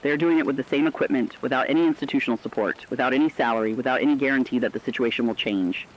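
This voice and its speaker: A man narrating scripted human-interest stories for a radio drama in a sober, deliberate way. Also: medium pitch. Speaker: radio storyteller. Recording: dull lo-fi radio sound.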